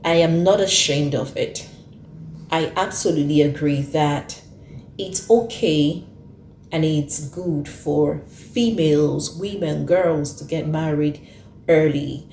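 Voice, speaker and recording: Woman in her 30s talking slow and earnest, dark mellow voice, roomy echo. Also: low voice, a little hiss or hum. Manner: earnest, repeats points for emphasis. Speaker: host. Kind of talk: casual talk to camera.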